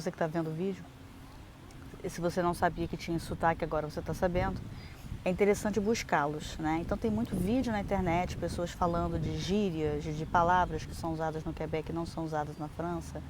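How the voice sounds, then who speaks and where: Brazilian woman vlogging outdoors, lower voice. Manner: chatty, explanatory, frequent fillers and restarts. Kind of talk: casual advice vlog. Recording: noisy outdoor recording.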